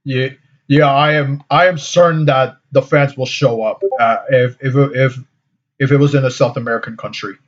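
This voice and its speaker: A man hosting a casual group discussion, speaking haltingly and often repeating words. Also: medium pitch, fairly even intonation. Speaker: discussion host. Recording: slightly dull, lo-fi audio.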